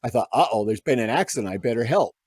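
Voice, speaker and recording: Guy telling band road stories on a podcast, lively bouncy voice, dry close sound. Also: mid-range voice, fast, husky, no room sound. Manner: animated anecdote teller, acts out quoted voices. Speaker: guest musician. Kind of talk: casual storytelling.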